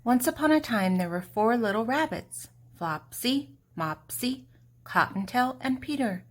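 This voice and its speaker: An older woman narrating a children's storybook expressively, with deliberate dramatic pauses and emphasis. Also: fairly high pitch, lively intonation.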